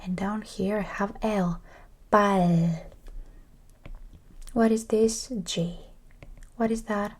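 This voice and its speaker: A woman teaching a language in a breathy ASMR whisper, encouraging and repeating words syllable by syllable. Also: medium pitch, steady tone, drawn-out words, pauses often, a little mellow.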